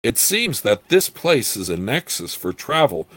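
A composed, wary adventurer from a fantasy storybook, voiced by a man. He speaks formally and politely, unhurried and somber, in a dry recording. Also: medium pitch, very animated, fairly bright tone, no room sound. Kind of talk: fantasy storybook character reading.

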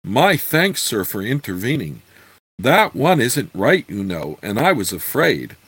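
A man's voice: medium pitch, big pitch swings, measured pace, fairly bright tone, husky, no room sound, exaggerated voice, rough recording. Man putting on a meek young country girl. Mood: grateful. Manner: deferential, confiding.

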